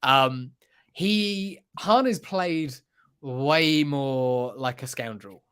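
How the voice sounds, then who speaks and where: A man's voice: medium pitch, extremely slow, a bit bright, smooth. A man chatting casually about pop culture as a podcast host, hesitant and thinking aloud as he goes.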